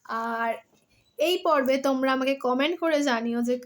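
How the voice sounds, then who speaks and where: A woman's voice: sits high, unhurried. A woman telling stories expressively and chatting casually to listeners. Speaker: storyteller and host of a story-reading channel. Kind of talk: expressive storybook narration and casual chat to viewers. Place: at home.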